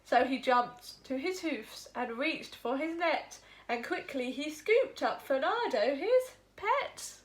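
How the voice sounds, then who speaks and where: A woman's voice: sits high, animated, slow, hardly any pauses. A woman storyteller reading a rhyming children's story expressively.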